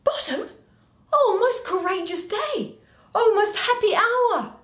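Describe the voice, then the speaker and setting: A woman's voice: extremely high pitch, animated, takes it slowly, a bit bright, voice pushed up, full character voice. A woman performing an earnest, exclaiming male carpenter who leads amateur players in a Shakespeare comedy. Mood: elated. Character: anxious, earnest Athenian carpenter leading amateur players, Quince. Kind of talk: Shakespeare read aloud as solo performance. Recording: roomy home recording.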